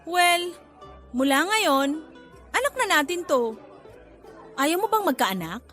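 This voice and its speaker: Very high-pitched, brash, flippant girlfriend. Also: very animated, slow pace, a bit bright, faint music behind, full character voice. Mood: flippant, pushy. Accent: Filipino. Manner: cheeky, giggly, blunt, dramatic. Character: brash, flippant, manipulative pregnant young girlfriend. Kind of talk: Filipino radio drama acting.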